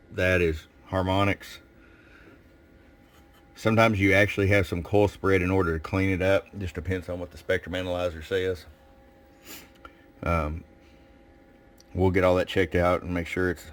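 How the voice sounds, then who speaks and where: A man's voice: low-pitched, steady tone, measured pace, pauses often. A man giving a casual, plain-spoken hands-on demonstration as a radio technician.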